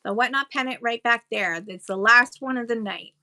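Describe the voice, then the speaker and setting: A woman's voice: fairly high pitch, moderate pace, pushed and bright. A Canadian woman hosting a seller livestream, chatting casually and reading viewer comments aloud with frequent ums. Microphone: phone.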